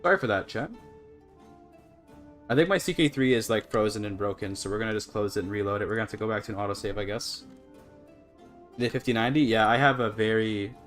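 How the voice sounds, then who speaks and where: A man's voice: on the low side, fast talker, pauses often, fairly bright tone, faint music behind. A Canadian man chatting casually to his livestream audience, rambling and apologetic as he goes. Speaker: live streamer. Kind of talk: casual gaming livestream chat.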